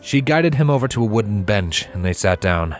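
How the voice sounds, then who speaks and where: A man's voice: lowish voice, lively intonation, a little fast, soft background music. A man reading a scripted story aloud as its narrator. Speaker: fan fiction reader.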